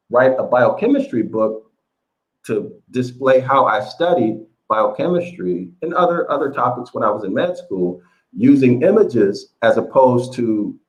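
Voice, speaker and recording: A man's voice: mid-range voice, highs cut off. A man from the United States, a guest telling his story in a rambling way with many fillers over an online call. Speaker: interview guest, author. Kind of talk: casual storytelling interview.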